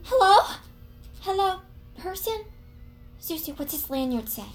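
A woman's voice: extremely high pitch, lively intonation, a little slow, faint music behind. A woman playing an anxious, naive and well-meaning young woman in an audio drama, girlish and earnest. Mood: excited, urgent. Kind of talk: audio drama comedy-horror acting.

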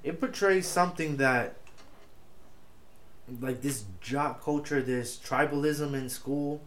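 A young man whose first language is Spanish, co-hosting a casual podcast chat in an animated, slangy way with lots of swearing. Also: a little high, slow pace. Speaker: podcast co-host. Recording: echoey room with faint noise.

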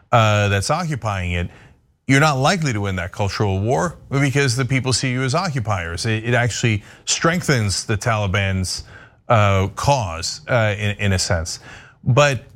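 A man's voice: medium pitch, a bit bright. A male host from Turkey with a mid-range voice questions his guest directly. He states opinions bluntly before handing the floor over. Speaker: show host. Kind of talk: interviewing a guest.

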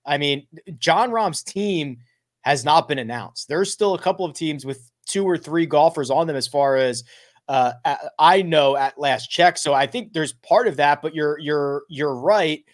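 A man hosting a casual sports podcast, animated and jokey. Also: fairly high pitch, unhurried. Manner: animated, jokey, some restarts. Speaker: podcast host.

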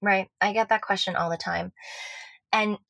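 A woman's voice: mid-range voice, steady tone, moderate pace, vocal fry, a bit bright, no room sound. Earnest rambling woman with an American accent. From Switzerland. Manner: rambling, earnest, frequent fillers and restarts. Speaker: love coach guest. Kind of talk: casual podcast interview.

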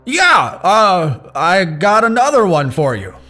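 High-voiced, nervous male henchman. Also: wildly swooping pitch, slow, bright, forceful tone, faint music behind, full character voice. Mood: jittery. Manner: hesitant, stammering, deferential. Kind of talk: audio drama acting.

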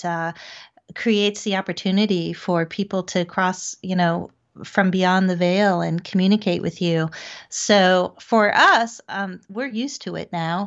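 A woman giving reflective, conversational answers as an interview guest and medium, measured and pausing to think. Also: medium pitch, highs cut off. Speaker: guest, paranormal investigator and medium.

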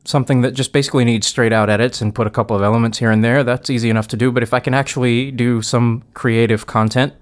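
A man's voice: mid-range voice, fairly even intonation, fast talker, few pauses. A man chatting casually as a podcast guest and audio producer, thinking aloud in an informal way. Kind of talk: casual chat.

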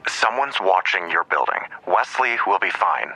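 A man's voice: sits low, animated, moderate pace, pushed and bright, no room sound, exaggerated voice, poor audio. An actor playing a terse male police investigator on a stakeout in an audio drama. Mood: firm, clipped.

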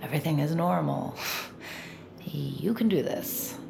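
A woman's voice: deep voice, measured pace, vocal fry, crowd noise, exaggerated voice. An actress playing Sid, a wry and anxious young woman who mutters dry, self-deprecating asides in an audio drama. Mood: nervous. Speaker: lead character and narrator.

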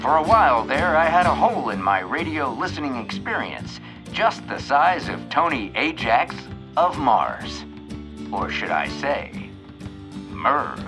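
A man's voice: medium pitch, sing-song, a little slow, music in the background. An actor playing a folksy, theatrical male bird who announces on the radio in an audio fiction show. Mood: folksy, relieved. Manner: folksy, theatrical sign-off delivery.

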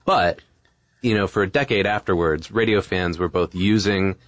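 A man co-hosting a podcast, explaining things casually in a loose, halting, informal way. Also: low voice, a bit bright, no echo, studio quality. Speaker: podcast co-host. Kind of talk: casual conversational podcast talk.

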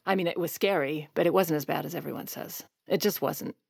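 An actress playing a protective, evasive First Lady, speaking in a halting, confessional way in an audio drama. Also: low voice, gravelly, husky, dry recording, studio quality, full character voice. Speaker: actor and narrator in an audio drama. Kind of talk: dramatic first-person narration and dialogue.

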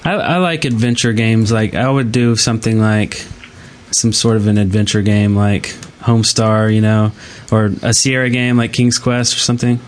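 A man's voice: on the low side, fairly even intonation, normal speed. A man co-hosting a podcast in loose, casual group conversation. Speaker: podcast co-host.

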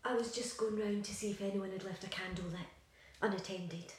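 A woman's voice: mid-range voice, fairly even intonation, brisk, lightly acted. A woman playing an anxious, controlling young mother and wife in an audio play, insistent and fussing. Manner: insistent, nagging, doting on her baby. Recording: very echoey room, distant, faint hiss.

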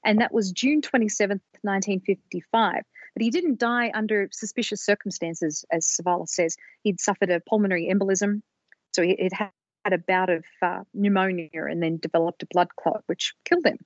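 A woman co-hosting a podcast, explaining research in a measured storytelling way on a slightly dull, lo-fi recording. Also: mid-range voice, steady tone, dry recording. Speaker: podcast co-host presenting research.

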